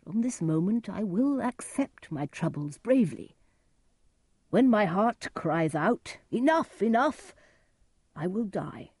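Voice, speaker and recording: A woman voicing a blind old nobleman who speaks gravely and emphatically in despair, in a storybook reading. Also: medium pitch, animated, takes it slowly, darkish tone, dry recording, voice pushed up. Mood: anguished, resigned.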